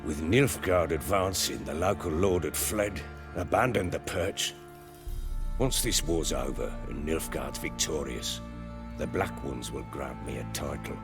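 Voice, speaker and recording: An actor playing a gruff, middle-aged self-made baron and soldier in a video game, gravelly voice and blunt, earthy, old-fashioned speech. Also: male voice, extremely low pitch, unhurried, over music. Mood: weary, matter-of-fact. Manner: blunt, earthy, archaic turns of phrase. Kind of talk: dramatic video game acting.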